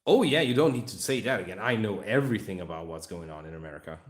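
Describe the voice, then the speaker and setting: Hungarian male streamer, very low voice, loose joking ramble, echoey room. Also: animated, fast. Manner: rambling, joking, talking to chat. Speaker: livestream hobby host. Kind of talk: casual livestream chat while building a model.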